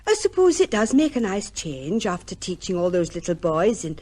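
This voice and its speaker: A woman's voice: on the low side, big pitch swings, moderate pace, darkish tone. An actress playing an affectionate, devoted village woman, fond and coaxing, in an old-time radio drama. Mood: coaxing, wistful.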